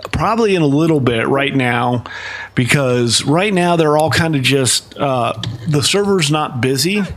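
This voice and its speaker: A man's voice: a little high, expressive, a little slow, fairly bright tone. A man live-streaming, chatting casually and explaining things with many fillers and restarts.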